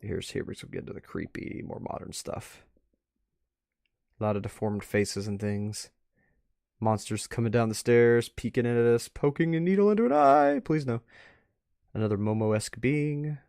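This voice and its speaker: A man's voice: mid-range voice, sing-song, normal speed, gravelly, a little mellow, smooth, dry recording, clean studio sound. A man giving casual, off-the-cuff commentary as a stream host, rambling and musing aloud. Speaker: host of a live art review stream.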